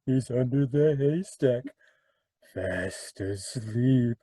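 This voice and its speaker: A man playing a gloating mad killer in an old-time radio mystery, taunting in a slow sing-song with cackles. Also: on the high side, darkish tone, husky, no echo, exaggerated voice.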